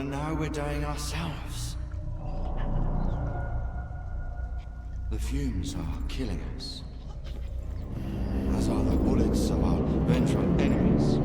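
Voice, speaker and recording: Zealous blind cult preacher in a game, slow swooping oratory, echoey hall w loud music. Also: male voice, medium pitch. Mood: urgent, pleading. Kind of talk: dramatic preaching monologue, game voice acting.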